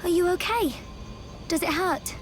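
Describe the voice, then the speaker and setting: High, spirited young woman. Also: a little slow, a little hiss or hum. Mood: worried. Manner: feisty, expressive. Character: spirited, caring young woman, teasingly indignant friend. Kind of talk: video game voice acting. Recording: orchestral music behind the voice.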